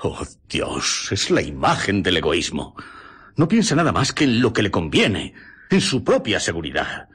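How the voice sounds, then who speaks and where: An anxious doctor, wildly swooping, husky. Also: male voice, low-pitched, wildly swooping pitch, measured pace, fairly bright tone, exaggerated voice. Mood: shaken, grave. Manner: stammering, urgent, theatrical. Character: anxious, agitated doctor, pleading and fearful. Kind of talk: Spanish radio drama acting.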